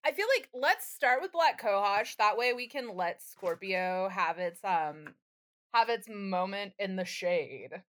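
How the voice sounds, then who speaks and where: A woman's voice: medium pitch, animated, measured pace, a bit bright. A woman co-hosting a podcast in casual, sweary chat, with a wry, self-deprecating and playfully dramatic manner. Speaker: podcast co-host.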